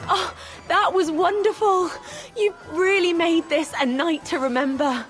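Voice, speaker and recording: An actress playing an effusive, grateful young bride in a video game cutscene, with a gushing manner. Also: female voice, very high voice, steady tone, unhurried, faint music behind. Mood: delighted.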